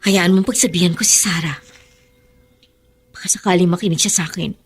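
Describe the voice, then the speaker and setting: An actress playing a frank, protective younger relative in a radio drama. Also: female voice, on the low side, steady tone, brisk, a little hiss or hum, natural voice. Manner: frank and earnest. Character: caring younger cousin, outspoken and protective.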